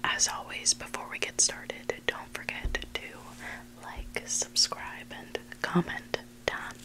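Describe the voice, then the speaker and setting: Hushed whispering ASMR storyteller, sex indistinct. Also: poor audio. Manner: hushed, deliberate, pausing between short phrases. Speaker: ASMR host reading submitted online stories.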